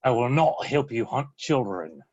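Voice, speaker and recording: A dull, dry recording of a man acting a captive in a screenplay read. He is defiant and strained, with a husky edge. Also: medium pitch, very animated, a little slow, a little mellow, no room sound. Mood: strained defiance. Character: defiant male captive of an alien captain.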